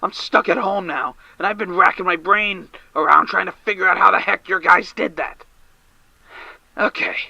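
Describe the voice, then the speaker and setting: A man's voice: high-pitched, moderate pace, gravelly, no room sound, muffled recording, exaggerated voice. An actor playing a distraught, drinking male caller on the edge of a breakdown, panicky and stammering, in an audio drama. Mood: agitated. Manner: frantic, panicky, shouting and stammering. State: drunk. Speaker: caller to a late-night radio show.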